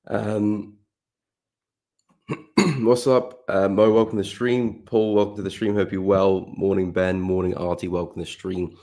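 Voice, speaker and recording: A man talks through market charts on a live stream in a deep, low voice. His throat is croaky and he keeps clearing it. His manner stays relaxed and chatty, and he greets viewers by name. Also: a little slow. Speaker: forex trading livestream host.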